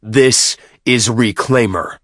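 Booming, implacable machine oracle, male narrator acting it. Slow, zealous, solemn delivery, dry sound. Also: no room sound, natural voice. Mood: stern correction. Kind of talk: audiobook character voice.

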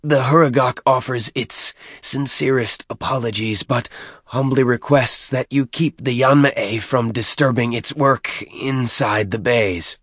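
A man's voice: mid-range voice, fairly even intonation, a little slow, a bit bright, husky, no room sound, clean studio sound, pitched above the performer's own voice. A man voicing a small, anxious alien deacon, strained, squealing and overly polite, in an audiobook. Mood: strained, apologetic. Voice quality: muffled squeal. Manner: strained, overly mannered, pleading.

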